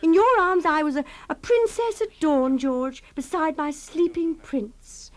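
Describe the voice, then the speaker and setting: A woman's voice: high voice, a little slow. An actress playing a clinging, melodramatic wife in her thirties in a radio drama, pleading and sighing theatrically. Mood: wistful, nostalgic.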